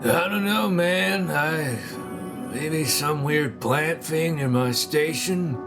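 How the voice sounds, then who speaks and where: A man's voice: a little high, slow, over music. A man playing a dazed, spaced-out soldier in a sci-fi audio drama, drawling and rambling in slang.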